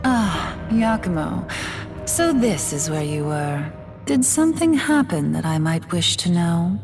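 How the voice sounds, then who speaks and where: Female voice, fallen goddess, refined formal diction, teasing, coolly condescending, video game drama, clear music behind. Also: on the low side, sing-song, unhurried. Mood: teasing curiosity. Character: poised, teasing goddess demoted to a demon. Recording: loud game music underneath.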